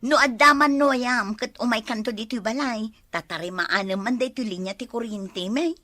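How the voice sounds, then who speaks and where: A woman playing a friendly, hospitable housewife in an Ilocano radio drama, chatting warmly with the neighbours. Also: on the high side, normal speed, no room sound.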